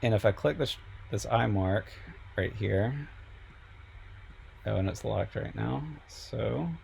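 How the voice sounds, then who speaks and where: A male professor talks through a software lesson in an easygoing, explanatory way, stopping often for ums. His voice sits low and has a gravelly edge. He is a little sick and sounds like he is losing his voice. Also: takes it slowly, slight background noise. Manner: relaxed, explanatory, many fillers. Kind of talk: screen-recorded software tutorial.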